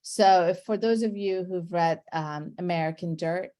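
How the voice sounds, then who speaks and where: A middle-aged woman from Iran with a somewhat low voice leads a book discussion over a video call. She speaks in an effusive, emphatic way, unhurried and leaving pauses between phrases. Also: warm tone. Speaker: moderator. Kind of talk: moderating an online book discussion.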